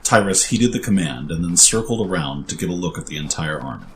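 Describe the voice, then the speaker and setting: A man's voice: sits low, expressive, a little fast, slight background noise. A man narrating a scripted fantasy story as its storyteller.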